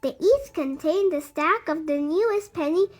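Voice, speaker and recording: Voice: very high voice, normal speed, soft background music. A storybook reader narrating a picture book expressively for young children.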